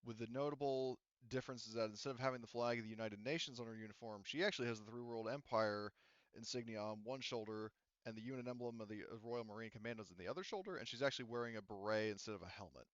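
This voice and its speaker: A man's voice: mid-range voice, fast talker, no echo, muffled recording. A man working as a game master, narrating and explaining a tabletop roleplaying game in a rambling, informal way.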